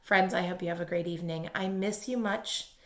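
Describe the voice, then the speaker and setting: A woman reading a children's storybook aloud like a teacher, expressive and emphatic, addressing young listeners. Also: lowish voice, a little fast. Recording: echoey room.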